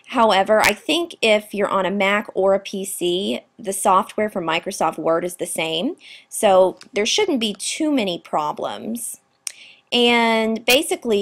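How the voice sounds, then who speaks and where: A young woman in her twenties with a slightly raspy, tired voice, casually talking through a software tutorial over a screen recording. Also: medium pitch. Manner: chatty, informal walkthrough with fillers. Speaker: graduate student tutor. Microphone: computer mic, voice-over on screen recording.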